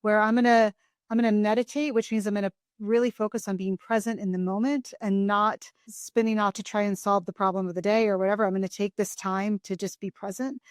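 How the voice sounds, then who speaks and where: A woman's voice: medium pitch, flat delivery, a little fast, dry recording. A woman hosting an interview podcast speaks in a mid-range voice. She talks thoughtfully and reflects on her own experience. Her voice is recorded dry and close. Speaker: podcast host. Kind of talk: conversational interview.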